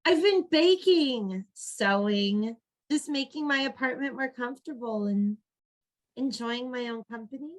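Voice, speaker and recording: Casting: actress as agreeable young grad, high voice, slow delivery, earnest and perky, comic stage reading. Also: female voice, close to an everyday voice. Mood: earnest, content. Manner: girlish slang, emphatic. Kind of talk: online play reading, comedic dialogue.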